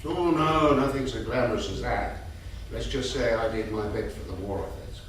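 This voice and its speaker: A man's voice: mid-range voice, normal speed, a bit bright, close to an everyday voice. Doting grandfather storyteller played by a man in a stage drama reading; tender, distant mic, faint noise. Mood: tender, reminiscing. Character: gentle doting grandfather telling bedtime stories. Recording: echoey theatre from far off, faint noise.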